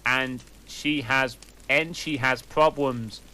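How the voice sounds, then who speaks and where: A man's voice: medium pitch, fairly even intonation, takes it slowly, pushed and bright. A man voicing a whiny, defensive teenage girl who wrote the fan story. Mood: indignant. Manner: indignant, whiny.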